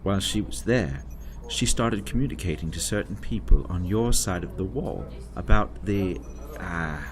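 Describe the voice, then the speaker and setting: Man as very deep, urbane commanding director. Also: animated, normal speed. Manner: formal, wry, wordy. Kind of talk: audio drama acting. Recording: music behind the voice.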